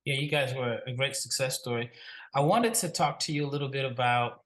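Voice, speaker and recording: A man's voice: medium pitch, a little fast, fairly bright tone. A man hosting a basketball podcast, putting questions to a guest in a loose, conversational way, with "like" and restarts. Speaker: podcast host, draft analyst. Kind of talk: casual interview questions.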